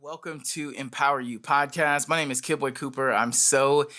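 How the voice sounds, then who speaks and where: A man's voice: mid-range voice, fairly even intonation, moderate pace, sharp tone, soft background music. A man hosting a podcast, introducing the show and his guest in an upbeat way with many ums and uhs. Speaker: podcast host.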